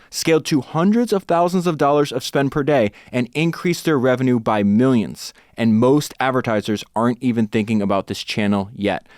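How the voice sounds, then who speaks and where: A man's voice: medium pitch, no echo. A man delivering a scripted podcast sponsor read, recorded close up. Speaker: podcast host reading a sponsor ad.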